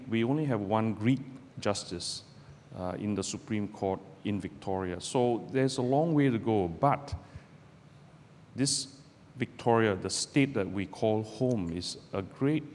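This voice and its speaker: A middle-aged man from Malaysia, a barrister giving a measured, deliberate public talk to students from a podium in a lecture hall. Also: on the low side, darkish tone. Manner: measured, deliberate delivery with pauses. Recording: roomy hall with long reverb, faint background noise.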